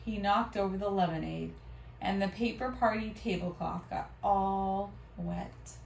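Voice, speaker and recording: A woman reading a storybook aloud to children in an expressive, teacherly way. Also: medium pitch, a little slow, gravelly. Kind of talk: animated read-aloud with questions to children. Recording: roomy, distant mic, faint noise.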